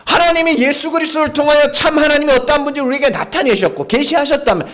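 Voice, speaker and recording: A man's voice: very high voice, fast talker. A male pastor preaching a sermon at a Friday prayer meeting, speaking conversationally and emphatically, often firing rhetorical questions at the congregation. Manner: emphatic and animated. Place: church sanctuary.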